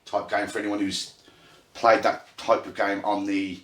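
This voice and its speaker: A man's voice: fairly low pitch, flat delivery, fairly bright tone. Chatty Brummie man explaining game rules. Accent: Birmingham. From Ireland. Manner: chatty, halting with fillers, self-deprecating. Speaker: host and game runner. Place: indoor room at a gaming table. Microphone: phone. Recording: echoey room on phone mic.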